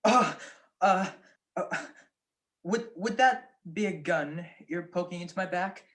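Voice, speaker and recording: A woman's voice: fairly low pitch, measured pace, natural voice. A young woman acting a suave, flippant male detective husband in a 1940s-style radio drama, with theatrical delivery. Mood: nervous. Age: young adult.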